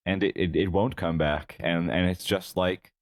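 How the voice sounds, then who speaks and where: A man's voice: low voice, fairly even intonation, moderate pace, dry recording. A man co-hosting a podcast, bantering in a jokey, rambling way with frequent restarts. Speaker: podcast co-host. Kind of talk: casual banter.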